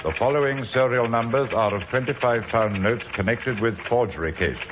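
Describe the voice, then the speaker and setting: An actor playing a polite, probing British police inspector in an old radio drama, measured and insinuating. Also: male voice, medium pitch, close to an everyday voice. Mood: brisk, official. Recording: narrow tinny vintage radio with hiss.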